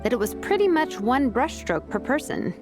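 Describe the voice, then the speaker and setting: An actress playing a confident vice captain and teacher in a video game, speaking casually, diplomatically and persuasively. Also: female voice, fairly high pitch, very animated, normal speed, over music. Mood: casual, assured.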